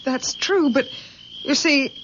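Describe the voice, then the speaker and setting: A desperate, withdrawn young woman in a vintage radio mystery. Her high voice is plaintive and imploring, on a muffled recording with faint noise. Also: slow, a bit bright. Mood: miserable, resigned. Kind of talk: 1970s radio drama acting. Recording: dull, noisy old radio broadcast recording.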